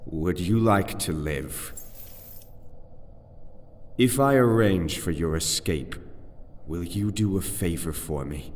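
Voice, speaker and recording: An actor in a fantasy audio drama playing a young, scheming aristocrat who speaks in a measured, conspiratorial manner. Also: male voice, low voice, slight background noise. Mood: secretive, calculating. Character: young aristocratic nobleman, cool, scheming, secretive. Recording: long dungeon reverb, music underneath.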